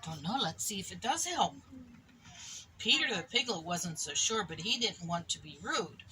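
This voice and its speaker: A woman reading a picture book aloud expressively to a child, pausing for little asides. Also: fairly low pitch, animated, sharp tone, a little hiss or hum, low-quality recording. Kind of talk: animated storybook read-aloud to a child.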